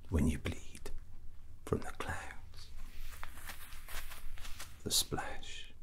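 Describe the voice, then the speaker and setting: Man acting ambitious rogue fantasy prince, ASMR roleplay, hushed breathy whisper, rock-bottom pitch, extremely slow, brooding, grandiose. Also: full character voice. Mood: dark, boastful. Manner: slow, deliberate, drawn-out phrases with pauses.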